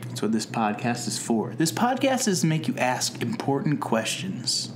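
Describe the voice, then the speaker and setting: A man co-hosting a casual podcast, reading plot notes aloud and making dry, deadpan jokes. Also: medium pitch, moderate pace, noisy background. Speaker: podcast co-host. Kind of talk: casual chat recapping a TV episode.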